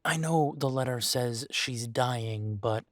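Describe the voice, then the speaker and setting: A man acts out a male werewolf in an intimate audio roleplay. His medium-pitched voice is very dry, with no room around it. He speaks to his mate in a halting, exasperated way, weary and torn. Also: a bit bright, dry recording. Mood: troubled, reluctant. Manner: exasperated, halting, sighing delivery.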